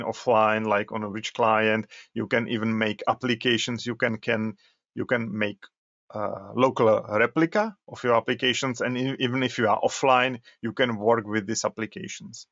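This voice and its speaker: A man presenting a webinar, walking through a software demo and often repeating words as he goes. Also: fairly low pitch, steady tone, no echo. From Czech Republic. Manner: halting, repeats words while demonstrating. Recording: dull lo-fi webinar audio.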